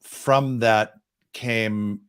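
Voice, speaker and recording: Male, 60s, lowish voice, earnest self-deprecating coach, close boom mic. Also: steady tone, drawn-out words, fairly bright tone, clean tone. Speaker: business coach guest. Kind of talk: casual interview chat. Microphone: boom microphone.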